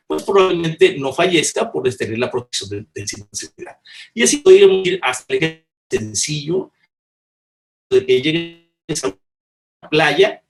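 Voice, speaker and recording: A slightly high-voiced man speaks at a conference panel. He argues earnestly, stumbling and restarting, and leans on plain everyday examples, with the sound of a video call. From Mexico. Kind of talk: conference talk.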